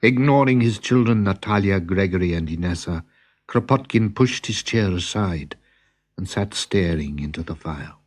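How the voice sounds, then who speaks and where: A man narrating a children's story as an expressive storyteller. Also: low-pitched, normal speed. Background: music.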